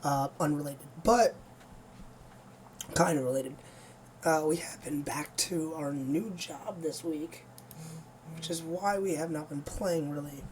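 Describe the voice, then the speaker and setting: A gaming streamer with a hoarse, nearly lost voice, chatting casually and rambling to viewers before playing. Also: medium pitch, takes it slowly, long pauses, darkish tone, slight background noise, rough recording. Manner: rambling, easygoing, many fillers.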